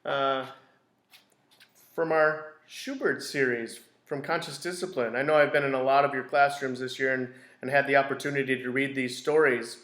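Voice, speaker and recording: A man reading a children's picture book aloud in a deliberate, expressive storytelling way. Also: mid-range voice. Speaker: school read-aloud storyteller. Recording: roomy, echoey indoor sound.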